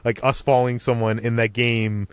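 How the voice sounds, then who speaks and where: A man's voice: medium pitch, flat delivery, normal speed, smooth, dry recording. A man hosting a casual film podcast, talking informally and restarting sentences as he explains his ideas. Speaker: podcast host.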